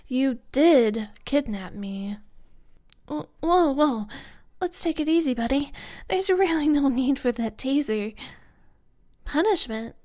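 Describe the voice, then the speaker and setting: A woman playing an easygoing, playful young kidnap captive who loves gaming, speaking softly and casually in an ASMR roleplay audio drama. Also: sits high. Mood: protesting. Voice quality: soft. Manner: casual, teasing, conversational.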